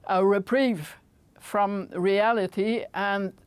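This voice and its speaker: A woman on a political news panel speaking in a measured way and posing rhetorical questions. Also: medium pitch, fairly even intonation, clean tone, dry recording.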